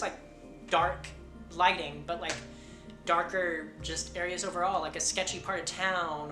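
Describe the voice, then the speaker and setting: A woman's voice: medium pitch, pushed and bright. Casting: female vlogger, informal personal chat, emphatic, roomy echo with music underneath. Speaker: vlogger on witchcraft practice. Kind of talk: casual personal chat to camera. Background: background music.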